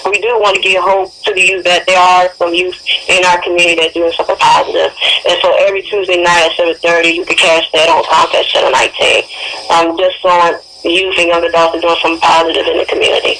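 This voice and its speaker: A woman's voice: on the low side, flat delivery, fast, fairly bright tone. An American woman speaking as a phone-in radio interview guest, earnest and encouraging, repeating phrases for emphasis. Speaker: interview guest, youth TV show promoter. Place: calling in by telephone to a radio show. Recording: noisy phone call to radio show.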